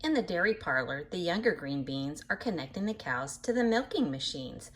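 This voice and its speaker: A woman reading a picture book aloud to children in an animated way, pausing to explain things patiently in simple words. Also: on the low side. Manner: animated, acts out animal sounds, addresses young listeners. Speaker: read-aloud storyteller for children.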